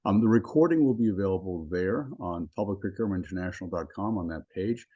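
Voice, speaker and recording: Male law professor hosting a webinar, low mellow voice, measured with ums, video call. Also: slightly rough, lo-fi sound. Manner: measured, with frequent ums and restarts. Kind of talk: webinar introduction.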